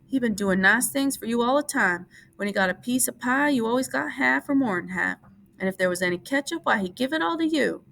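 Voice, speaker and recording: A woman's voice: fairly high pitch, lively intonation, a little fast, creaky, fairly bright tone, pitched above the performer's own voice. A woman playing a stern, disapproving old aunt who nags and scolds, in a storybook reading. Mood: scolding. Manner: scolding, nagging.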